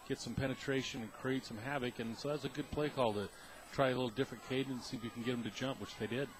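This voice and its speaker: A man's voice: medium pitch, steady tone, quick pace. An American man giving casual color analysis during a radio football broadcast. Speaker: color commentator. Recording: noisy outdoor stadium radio broadcast.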